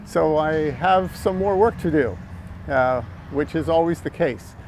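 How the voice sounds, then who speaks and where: A man's voice: fairly high pitch, a little slow, a little mellow, rough recording. An older American man talking casually and explaining things in a hesitant, reflective way. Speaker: amateur radio operator and vlog host. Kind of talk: casual explanatory vlog talk. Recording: outdoor background noise.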